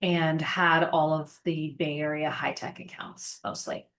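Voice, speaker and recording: A woman in her thirties talks through her career in a relaxed interview. She is chatty and reflective, with fillers scattered through her speech. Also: deep voice, unhurried. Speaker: podcast guest, sales engineering manager. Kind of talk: casual interview conversation.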